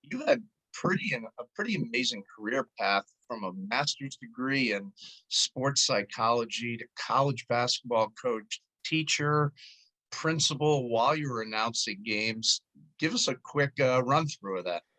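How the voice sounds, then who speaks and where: A man's voice: medium pitch, animated, measured pace, sharp tone, rough recording. A man hosting a sports show, introducing segments and interviewing in a presenter's style.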